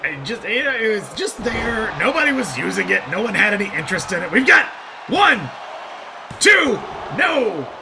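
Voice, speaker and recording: Excitable high-voiced male wrestling commentator. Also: normal speed, vocal fry, background noise. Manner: excitable, rambling, bursts into shouted calls. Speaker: play-by-play commentator.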